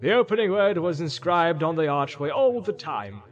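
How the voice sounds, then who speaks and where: A man voicing an excitable, learned old wizard with a commanding, theatrical manner, as a storybook character. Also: on the high side, moderate pace, highs cut off, pitched above the performer's own voice. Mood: rueful, amused. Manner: exclamatory, theatrical, swooping between amusement and urgency.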